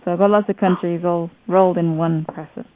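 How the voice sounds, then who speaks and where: A woman's voice: fairly low pitch, fairly even intonation, brisk. A woman from Zambia, a Braille teacher and radio presenter, chatting and explaining patiently in a casual group call, laughing easily. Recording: tinny phone line, dry.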